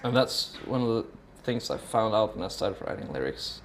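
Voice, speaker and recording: A man's voice: lowish voice, animated, moderate pace, vocal fry, echoey room, slight background noise. A man with a Norwegian accent talking casually in an interview, musing in a rambling, sweary way. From Norway.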